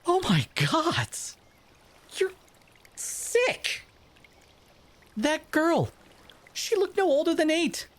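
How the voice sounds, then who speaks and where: A man acting as a bitter exiled merfolk interrogator in an audio drama, speaking in a stern, accusing way with dramatic pauses. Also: very high-pitched, wildly swooping pitch, a bit bright, exaggerated voice. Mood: furious, appalled.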